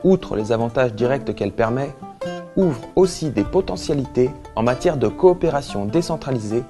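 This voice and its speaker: A man reading scripted documentary narration in a formal, measured manner. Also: mid-range voice, lively intonation. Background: guitar music.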